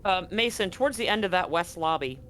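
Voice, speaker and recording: A man's voice: sits high, expressive, a little fast, fairly bright tone, a little hiss or hum. A man running a tabletop horror game as game master, narrating loosely and correcting himself as he goes. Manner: loose, conversational, self-correcting.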